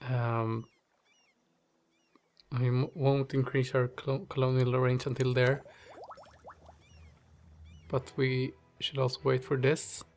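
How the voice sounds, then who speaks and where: A man's voice: mid-range voice, level tone, takes it slowly, pauses often. A man with a stuffy nose and a slightly strained voice, hosting casual strategy game commentary, thinking aloud with many fillers. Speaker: gaming channel host.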